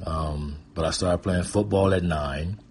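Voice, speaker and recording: An American man in his fifties with a very low, husky voice. He tells personal stories casually and loosely, rambling a little and pausing to find his words as he goes. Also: a little mellow. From Pontiac, Michigan, United States. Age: 50s. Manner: informal, chuckling, hesitant and rambling. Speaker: guest storyteller.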